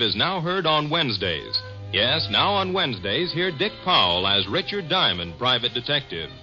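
A man's voice: medium pitch, sharp tone. A man announcing in formal, punchy 1950s radio style, reading credits and promotions. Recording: dull vintage radio broadcast, music behind.

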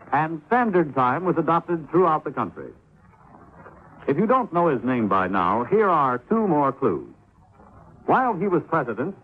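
A man reads a scripted 1950s radio announcement. His voice is slightly high and rises and falls vividly, posing questions to the listener. The recording is thin. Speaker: announcer of an educational spot. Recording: tinny, faintly noisy 1950s radio recording.

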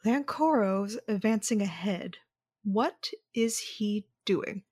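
A young warrior daughter in a space-opera audio drama. She speaks at a slow pace, dutiful yet questioning. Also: medium pitch, expressive, darkish tone, slightly rough. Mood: urgent.

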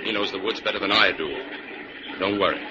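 Casting: man as calm, authoritative sleuth hero, measured delivery, old radio serial, dull hissy recording. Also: fairly low pitch, lightly acted. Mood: calm, deliberate. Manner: firm, measured, commanding. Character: calm, authoritative male detective hero, level-headed. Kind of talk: old-time radio drama acting.

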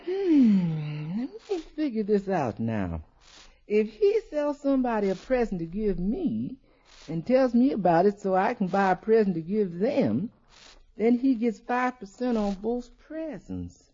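A husky, animated housekeeper, practical and good-humored. She is folksy and wry, delivering lines in vintage radio comedy style. The recording is dull and old. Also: medium pitch. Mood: pleased, musing. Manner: folksy, wry, deliberate punchlines. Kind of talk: 1950s radio comedy acting.

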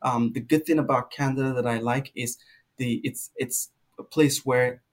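A man's voice: mid-range voice, fairly even intonation, normal speed. A young man from Nigeria, a guest in a casual interview, telling stories at length with many ums and an easy laugh. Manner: warm storyteller, laughs easily, many fillers.

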